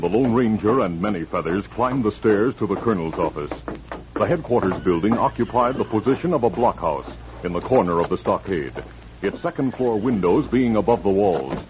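A man's voice: on the low side, noisy background. A man narrating a western adventure in a dramatic, scripted 1940s radio style. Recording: muffled old radio recording with music.

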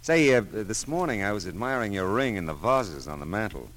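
An American actor playing a dry, persistent private detective in an old radio drama, with a wry, clipped, hard-boiled delivery. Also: male voice, on the low side, sing-song, a little fast, fairly bright tone, a little hiss or hum. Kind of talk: 1940s-50s radio detective acting with first-person narration. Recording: old radio show, faint music.

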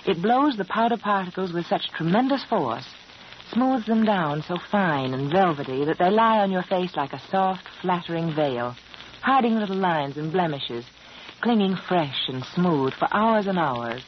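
A woman's voice: fairly low pitch, lively intonation, normal speed. A woman reading a scripted vintage radio commercial as hostess, polished and speaking directly to the listener. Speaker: sponsor's hostess reading an advertisement. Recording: muffled, noisy old radio broadcast.

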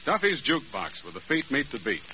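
A man's voice: mid-range voice, very animated, a little fast. A man announcing on a 1940s radio show with rhyming jive patter. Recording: noisy, muffled old radio recording.

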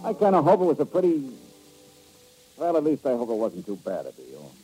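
A man's voice: medium pitch, fairly quick, husky, rough recording. An actor playing a wry, tough male insurance investigator who narrates and questions suspects in a 1950s radio drama. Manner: dry, wisecracking, lively delivery. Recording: old radio broadcast with music.

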